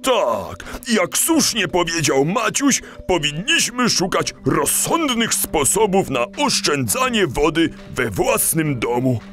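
A man's voice: high-pitched, a bit bright, full character voice. A man playing a kindly animal teacher in a children's audio play, encouraging and didactic, with small stammers. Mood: earnest, instructive. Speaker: teacher leading a children's lesson.

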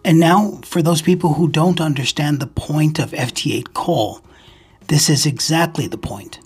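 A man giving scripted instructional narration as the host of a ham radio video, speaking in a measured, explanatory way. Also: on the high side, breathy edge, soft background music.

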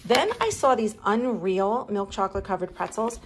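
A woman's voice: mid-range voice, normal speed, noisy background. A woman chatting casually through a grocery haul, steadily listing items and what each one cost. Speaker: vlogger.

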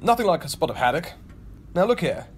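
A woman as a wildly expressive, flippant English gentleman. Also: lowish voice, fairly quick. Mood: breezy, wry. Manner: breezy, wry, old-fashioned. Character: flippant, bemused English gentleman, dryly chivalrous. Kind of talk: amateur radio play acting. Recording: homemade recording with noticeable noise.

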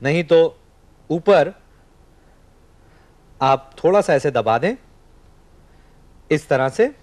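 A man's voice: fairly high pitch, animated, drawn-out words. A man in his thirties hosting a studio cooking show, explaining each step while he cooks and talks to the camera. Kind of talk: scripted cooking demonstration. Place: TV studio kitchen set.

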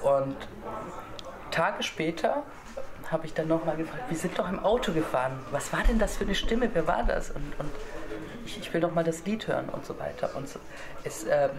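A man's voice: on the high side, animated, normal speed, creaky. A man in his thirties interviewing a guest, stumbling and restarting his sentences as he talks. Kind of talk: casual interview chat. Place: cafe table with coffee cups. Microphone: far camera, no mic seen. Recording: cafe with crowd chatter around. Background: chatter of a cafe crowd.

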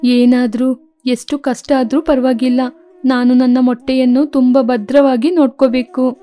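A woman's voice: high-pitched, flat delivery, normal speed, warm tone, soft background music, lightly acted. A woman voicing an anxious, tender mother sparrow in a children's cartoon, earnest and pleading. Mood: determined. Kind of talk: children's cartoon story acting.

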